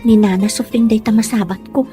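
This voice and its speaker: A woman's voice: mid-range voice, steady tone, brisk, mellow, soft background music, natural voice. A woman playing a sweet, polite, coy young woman in love in an Ilocano comedy drama. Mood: cheerful.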